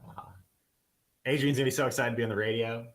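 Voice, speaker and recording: A man's voice: medium pitch, steady tone, fast talker, lightly acted. Man as a square constable on a comedy radio show, mid-range voice, eager, rambling, hip-talk fails. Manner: rambling, eager, full of filler words. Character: adult male police constable trying too hard to seem hip to teens.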